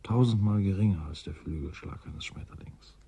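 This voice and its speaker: An actor playing a brooding, resigned male scientist in a radio play, speaking in a slow, halting, deliberate way with a husky, breathy voice. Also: low voice, mellow, heavily acted. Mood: musing, lecturing. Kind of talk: German science fiction radio drama acting.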